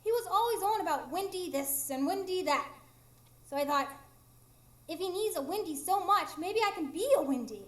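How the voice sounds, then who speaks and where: A woman's voice: very high-pitched, animated, normal speed, a bit bright. A woman playing a heartbroken, proud fairy living as a human, in a plaintive, emphatic live radio drama performance. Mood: wounded. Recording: roomy live stage performance.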